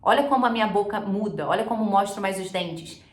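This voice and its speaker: A woman's voice: mid-range voice, fast talker, echoey room. A Brazilian woman giving a conversational, explanatory language lesson, mixing English terms into her speech as she instructs. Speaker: English teacher and video host.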